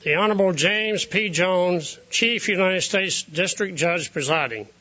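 A man, a court marshal, calling a courtroom to order in a loud, ceremonial cadence. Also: high-pitched, a little slow, dull audio. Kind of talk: formal court proclamation.

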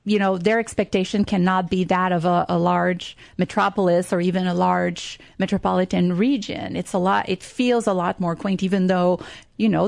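A woman's voice: lowish voice, vocal fry, a little mellow, no room sound, clean studio sound. A middle-aged woman from Quebec with a French Canadian accent, speaking English as a second language and chatting enthusiastically about tourism in an interview, with frequent fillers. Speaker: tourism marketing guest. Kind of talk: conversational interview.

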